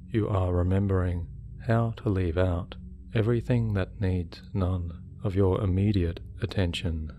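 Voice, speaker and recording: A man guiding a hypnosis meditation in a soothing, measured way with deliberate pauses. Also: deep voice, mellow. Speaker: hypnotherapy guide. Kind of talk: guided meditation, hypnosis narration. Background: relaxation music.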